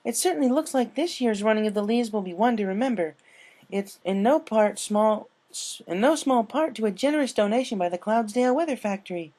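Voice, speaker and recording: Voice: mid-range voice, lively intonation, fairly quick, dark tone, voice pushed up, lightly acted. A performer voicing an earnest, bookish young female pony in a cartoon fan story. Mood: bright announcing.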